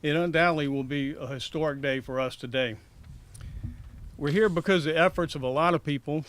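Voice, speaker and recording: An older man giving formal, measured remarks of thanks as a chairman. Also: on the high side. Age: 50s or older. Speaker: airport authority chairman.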